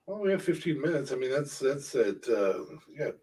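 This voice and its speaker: A man hosting a podcast, chatting casually in a halting, playful way. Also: medium pitch, very animated, mellow. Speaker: podcast host. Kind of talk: casual wrestling fantasy booking chat. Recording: voice distant from the mic.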